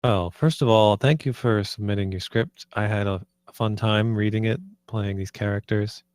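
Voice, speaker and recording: Lowish-voiced man, close dry sound. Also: fairly even intonation, moderate pace, darkish tone, smooth, dry recording. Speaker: voice actor giving script feedback. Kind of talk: casual feedback discussion.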